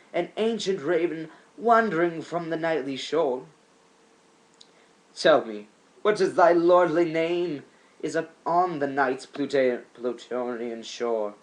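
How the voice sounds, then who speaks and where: A man's voice: on the high side, expressive, slow, poor audio. A man playing a troubled, grieving scholar alone at midnight in a poetry reading, fearfully polite, theatrical and emphatic in archaic formal speech. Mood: awed, demanding.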